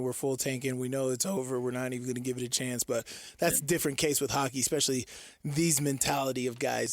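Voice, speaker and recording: A man's voice: mid-range voice, no room sound. A man co-hosting a sports radio show in a casual, choppy way, repeating words and phrases.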